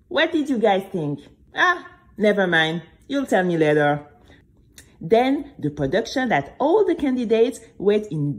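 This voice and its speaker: Expressive, confiding young girl storyteller, played by a woman. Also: medium pitch. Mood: excited, nervous. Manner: expressive, confiding, exclamatory, addressing listeners directly. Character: Bénie, chatty, dramatic, nervous young girl singer. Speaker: narrator and main character. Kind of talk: first-person audio drama storytelling for children.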